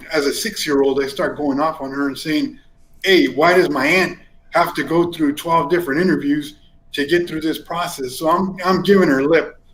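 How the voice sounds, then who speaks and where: A man's voice: a little high, fairly bright tone. A man in his forties from California, a native Spanish speaker, chatting informally and telling stories as an interview guest. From East San Jose, California, United States. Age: 40s. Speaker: interview guest, community politics commentator. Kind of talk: casual personal storytelling. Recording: roomy, echoing sound.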